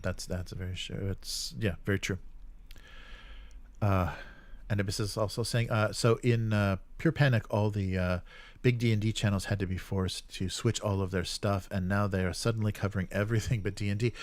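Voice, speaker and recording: A man hosting a casual livestream, giving opinionated commentary in a halting, rambling way. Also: fairly low pitch, fairly even intonation. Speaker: live show host.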